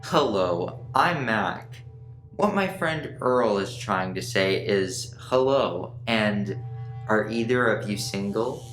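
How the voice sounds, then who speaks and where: Woman playing cheeky crewmate, radio play, very low pitch, unhurried, teasing, echoey room. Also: lively intonation, full character voice. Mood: cheeky, flirting. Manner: teasing, sarcastic. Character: cheeky, flirtatious space crew member, Mac. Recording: echoey room, faint music.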